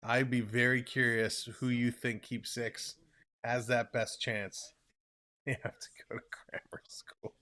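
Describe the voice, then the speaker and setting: A man's voice: mid-range voice, flat delivery, takes it slowly, bright, forceful tone. A young man with a Toronto Canadian accent, rambling casually as he hosts a live hockey stream and answers viewers' comments. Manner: chatty, rambling, reads and answers chat aloud.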